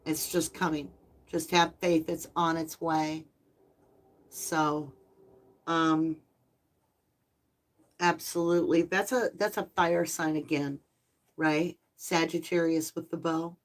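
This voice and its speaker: A woman hosting a live tarot stream, talking softly and affectionately to her viewers, her voice slightly hoarse and tired. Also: sits low, flat delivery. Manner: soft, slow, spiritual and affectionate, addressing viewers as lovelies. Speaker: tarot reader and channel host.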